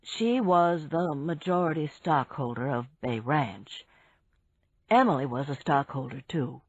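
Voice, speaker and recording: Subdued polite man, lowish voice, woman reading, lo-fi. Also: expressive, a little slow, slightly rough, no echo, heavily acted. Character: upset middle-aged man leaving early. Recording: dull, dry lo-fi recording.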